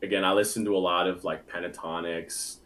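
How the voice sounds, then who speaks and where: Very deep-voiced young man, easygoing. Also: fairly even intonation, brisk, fairly bright tone. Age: young adult. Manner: easygoing, heavy on filler words. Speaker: guest, bass singer. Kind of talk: casual interview chat.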